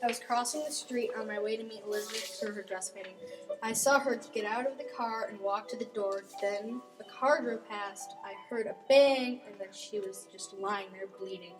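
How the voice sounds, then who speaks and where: Mid-pitched female murder-mystery character played by a woman. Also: moderate pace, music in the background, close to an everyday voice, poor audio. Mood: recounting. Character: female witness and medical examiner in a murder case. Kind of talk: amateur old-time radio mystery acting.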